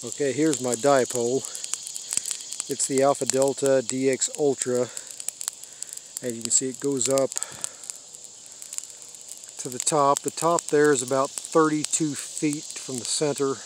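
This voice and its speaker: An older American man giving a casual, unscripted show-and-tell in halting short phrases, recorded outdoors on a handheld device. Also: medium pitch, flat delivery, a little hiss or hum. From United States. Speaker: radio hobbyist giving a tour. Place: open rural backyard, outdoors. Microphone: handheld phone or camera mic.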